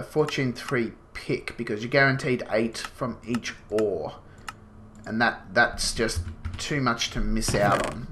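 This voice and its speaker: A man's voice: mid-range voice, background noise. A man with a slight cold, sniffling as he gives casual, rambling gameplay commentary full of fillers. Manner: rambling, explains as he goes, many fillers. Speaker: gaming channel host.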